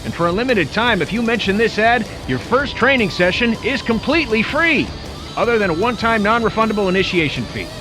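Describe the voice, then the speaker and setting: Faded wrestler turned academy salesman, hammy, high voice, cheesy local ad, loud music behind, video game. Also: animated. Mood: upbeat salesmanship. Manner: salesmanlike, hammy, fast disclaimer at the end. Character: washed-up male wrestler hawking his training academy. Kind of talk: cheesy local TV commercial pitch. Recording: loud music bed under the voice.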